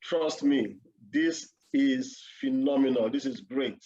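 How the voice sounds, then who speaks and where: A man's voice: mid-range voice, slow pace, mellow. Man, mid-range voice, earnest speaker on a dull-sounding video call. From Nigeria. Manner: earnest, personal storytelling. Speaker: conference speaker. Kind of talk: conference talk. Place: office.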